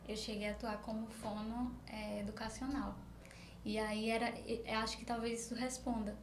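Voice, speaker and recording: High-voiced Northeastern Brazilian woman explaining. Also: a little mellow. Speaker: speech therapist, interview guest. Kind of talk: conversational interview answers. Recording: roomy echo, faint background noise.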